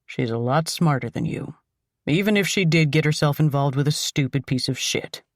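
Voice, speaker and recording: A woman voicing a gruff, menacing male kidnapper boss who speaks with cold contempt. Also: low voice, normal speed, no echo, studio quality, close to an everyday voice.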